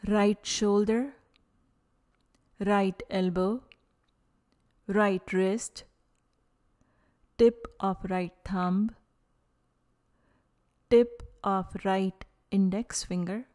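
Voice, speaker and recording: Woman, meditation guide, extremely slow pace, soft mellow tone, calm, short phrases, long pauses. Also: mid-range voice. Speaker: yoga nidra guide.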